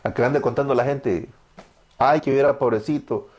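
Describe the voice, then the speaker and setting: A man's voice: medium pitch, fairly quick, darkish tone. Fervent Salvadoran preacher man. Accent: Salvadoran Spanish. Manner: fervent, preachy, asks and answers his own questions. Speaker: preacher giving testimony. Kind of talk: evangelical testimony sermon.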